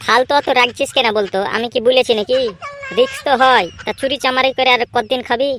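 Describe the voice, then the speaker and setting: A woman's voice: high voice, fairly even intonation, quick pace, fairly bright tone, slight background noise. A woman voicing a needling young male sidekick in a comedy cartoon, scolding and full of questions.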